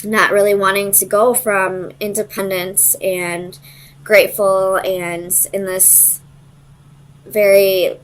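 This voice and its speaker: A woman's voice: mid-range voice, little pitch movement, takes it slowly, rough recording. A woman giving a casual, conversational tarot reading, hesitant as she thinks aloud and searches for her words. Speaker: tarot reader.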